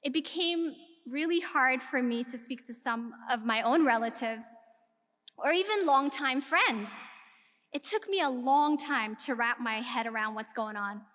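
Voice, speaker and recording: A woman's voice: sits high, unhurried, a bit bright. A woman from Ethiopia giving an earnest keynote speech to a live audience, posing rhetorical questions to the audience. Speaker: keynote speaker. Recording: long reverb of a large hall.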